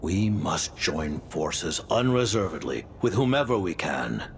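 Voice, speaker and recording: An actor playing a grave, stately older leader in a video game, speaking formally and solemnly in a gravelly voice. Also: male voice, very animated, a little slow, slight background noise, heavily acted. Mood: formal, courteous. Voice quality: deep, gravelly. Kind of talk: theatrical video game voice acting.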